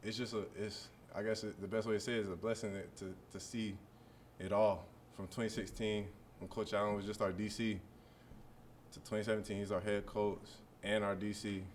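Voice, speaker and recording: A man in his early twenties, a college football player, answering press questions in a relaxed, rambling way full of fillers. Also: lowish voice, a little fast, a little hiss or hum. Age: early 20s.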